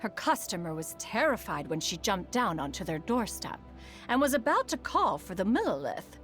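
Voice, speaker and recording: A woman's voice: mid-range voice, big pitch swings, moderate pace, a bit bright. A woman voicing a proud, refined, aloof ancient immortal in a video game, speaking formally and loftily. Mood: concerned, fond. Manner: formal, haughty, calls herself "one". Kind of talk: scripted video game character voice lines. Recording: music behind the voice.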